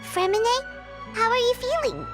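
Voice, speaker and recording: An actress voicing a tiny, excitable, caring childlike companion sprite in a video game, with a squeaky voice. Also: female voice, moderate pace. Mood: eager concern. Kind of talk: animated video game voice acting. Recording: loud music under the voice.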